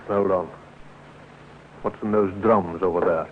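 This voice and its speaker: An actor playing a courteous but firm police inspector, clipped and authoritative, in a 1950s radio drama. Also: male voice, low voice, lively intonation, takes it slowly, vocal fry, full character voice. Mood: intent. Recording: tinny, noisy 1950s radio recording.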